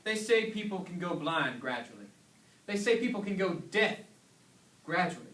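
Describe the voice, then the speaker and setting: High, bright male reader in echoey hall. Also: sing-song, normal speed. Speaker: student performer reading a dramatic sermon. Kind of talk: dramatic staged reading, storytelling and preaching. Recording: echoey hall, recorded from far off.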